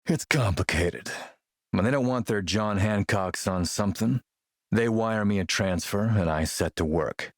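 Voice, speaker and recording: A man playing a smooth, menacing military warlord and arms dealer in a video game, suave, commanding and measured. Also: on the low side, no echo, clean studio sound. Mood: measured, businesslike. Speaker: villain character in an action role-playing game. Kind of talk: acted video game dialogue.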